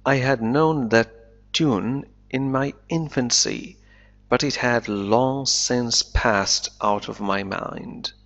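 A man teaching English, reading a novel aloud slowly and deliberately and explaining it line by line, an Arabic speaker. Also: mid-range voice, fairly bright tone. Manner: slow deliberate reading, then quick explanations. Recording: dull lo-fi recording.

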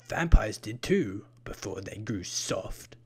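Storybook monster, acted by a male narrator: haughty male vampire-like killer, breathy and husky, lowish, oddly deliberate delivery. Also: lively intonation, a bit bright, exaggerated voice. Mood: smug, lecturing. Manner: odd, deliberate way of talking with drawn-out phrases. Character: menacing, haughty purple-skinned vampire-like killer, male, unhurried.

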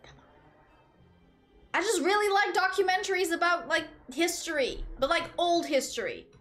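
A woman's voice: very high-pitched, expressive, normal speed, fairly bright tone, soft background music. A young woman from Portugal streaming from a small room on a headset, chatting casually with her viewers, giggly and rambling. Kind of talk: casual chat with live chat. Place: small room indoors at a desk.

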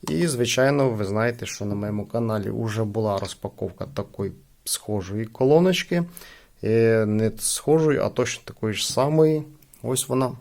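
A man's voice: on the low side, normal speed, vocal fry, a little mellow. A man hosting a casual product review, talking informally to his viewers as friends. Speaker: gadget unboxing channel host.

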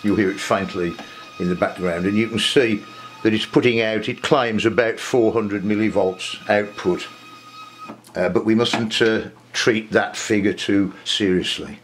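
Low-voiced older man explaining things. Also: steady tone, a little slow, slight background noise. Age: 60s or older. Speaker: presenter of a vintage audio technical video. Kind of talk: informal technical explanation. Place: small home study with desk and bookshelves.

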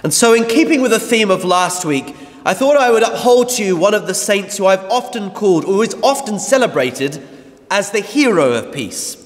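A man in his thirties, a parish priest, preaching a measured, emphatic sermon with dramatic pauses from a lectern in a large church. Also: sits high, sing-song. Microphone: mounted lectern mic. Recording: long church reverb.

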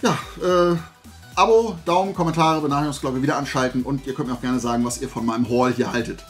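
A man's voice: medium pitch, fast talker, faint music behind. A man in his forties from Germany chatting casually to camera as a vlog host, in a colloquial, self-deprecating way. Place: small indoor room lined with collectible shelves.

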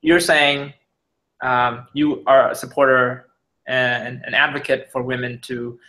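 A man hosting a live online interview, asking questions haltingly with many restarts and fillers. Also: mid-range voice, flat delivery, pushed and bright, rough recording. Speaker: interview host.

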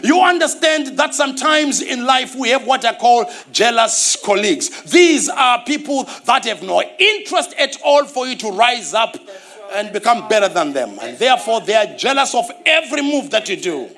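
A middle-aged man with a Zimbabwean accent preaching a sermon on a church stage, animated and repeating key phrases, calling for responses. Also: very high voice, normal speed, soft background music. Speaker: pastor.

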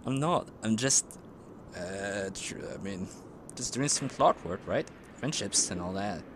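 A man gives casual live game commentary at a slow pace. His low voice swings widely in pitch, and he drops in playful, hesitant asides. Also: animated, background noise. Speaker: game streamer. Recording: game music behind the voice. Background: video game music.

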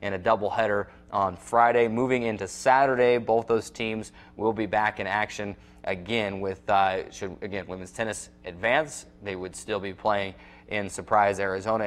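A young man hosting a sports show from a studio desk, presenting a semi-scripted recap. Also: on the low side. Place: small indoor TV studio at a desk.

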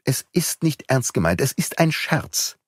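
An earnest young detective who presses and coaxes with urgency, as a male narrator puts him on. The voice lifts above the narrator's own and moves in lively swings. It is dry and close. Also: mid-range voice, unhurried, husky, no echo, voice pushed up. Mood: coaxing. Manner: urgent, coaxing.

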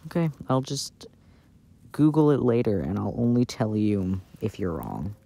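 A man doing a casual, intimate ASMR boyfriend roleplay in a soft-spoken, playful way, recorded outdoors. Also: lowish voice, expressive, unhurried, vocal fry, husky. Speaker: audio roleplay performer playing a boyfriend. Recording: outdoor recording with background noise. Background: outdoor ambience and noise.

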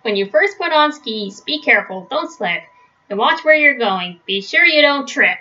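Boastful papa bear, read by a woman, bright-voiced. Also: high-pitched, expressive, moderate pace. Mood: proud, instructive. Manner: showy, instructing, emphatic. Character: boastful, overconfident father bear teaching his son. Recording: dull, lo-fi webcam sound.

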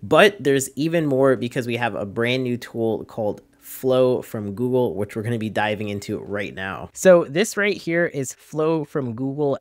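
A man's voice: medium pitch, no room sound. A man in his thirties giving a casual screen-share review, conversational and candid, speaking directly to viewers into a close desk microphone. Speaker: host, tech reviewer. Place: small home office or studio, indoors. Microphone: desktop microphone close in front.

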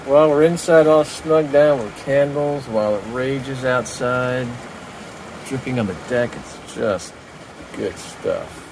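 A man hosting a sailing vlog, narrating in a relaxed, easygoing way from aboard a small sailboat. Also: mid-range voice, takes it slowly. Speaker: vlog host and sailor. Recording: camera mic with loud wind, water and rain noise.

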